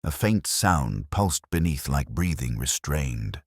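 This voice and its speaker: Man, very low voice, atmospheric mystery narration, measured storytelling, dry studio-like sound. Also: very animated, breathy edge, no echo. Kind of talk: scripted narration of a detective story.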